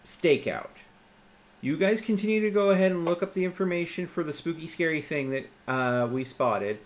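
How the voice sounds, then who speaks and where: Male tabletop player, slightly high voice, casual chat, faint background noise. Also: lively intonation, normal speed.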